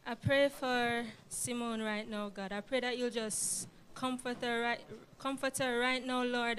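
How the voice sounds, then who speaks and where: A woman playing a caring, patient young singer, speaking gently and hesitantly. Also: fairly high pitch, slight background noise. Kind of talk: naturalistic screen drama acting.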